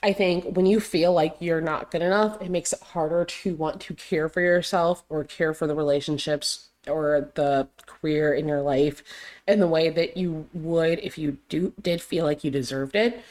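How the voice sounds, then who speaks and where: Young woman podcasting solo, pretty low voice, bit raspy, rambly with lots of um and like. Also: moderate pace, a little mellow. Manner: rambling, heavy on fillers and "like". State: slightly raspy voice. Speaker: podcast host. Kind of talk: casual solo podcast talk.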